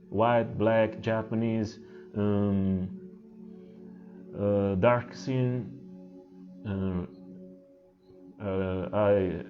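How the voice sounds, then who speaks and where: A man whose first language is Portuguese, speaking English with a Portuguese accent as a spiritual teacher, halting and hesitant with many fillers and restarts. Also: mid-range voice, a little mellow. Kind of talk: unscripted spiritual talk to camera. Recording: muffled, with singing bowl music behind.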